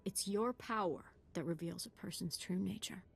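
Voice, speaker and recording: A woman's voice: medium pitch, normal speed, gravelly, husky. An actress playing an intense, idealistic young female Jedi apprentice in a video game, with a troubled, earnest and emotional delivery. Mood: resolved. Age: young adult.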